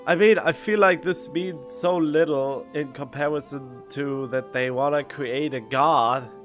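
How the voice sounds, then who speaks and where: A man giving casual live game commentary as a streamer, skeptical and halting, on a studio microphone. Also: medium pitch, a bit bright, soft background music. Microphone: studio condenser microphone.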